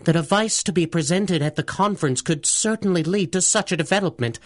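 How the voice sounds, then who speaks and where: A man's voice: high voice, brisk, dry recording, clean studio sound, lightly acted. Man playing a polite, curious radio-serial scientist. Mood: measured, grave. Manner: hesitant, courteous, formal wording. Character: polite, curious, mild-mannered male scientist. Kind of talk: 1930s radio adventure serial acting.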